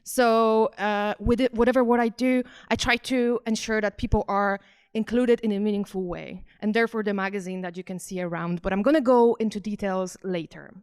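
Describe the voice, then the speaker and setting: A woman's voice: mid-range voice, steady tone, a little fast, darkish tone, clean tone. A woman from Poland with a Polish accent, giving an informal art presentation to an audience in a conversational, hesitant, self-questioning manner. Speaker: visual artist and researcher presenting her work.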